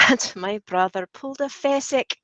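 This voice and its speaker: A woman co-hosting a casual chat, telling a story in a playful, animated way. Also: on the high side, moderate pace. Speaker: podcast co-host.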